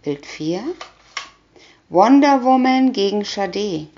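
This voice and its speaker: A woman's voice: medium pitch, animated, takes it slowly, dark tone, highs cut off. A woman doing a scripted reading of a children's picture booklet, announcing short captions one by one. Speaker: reader.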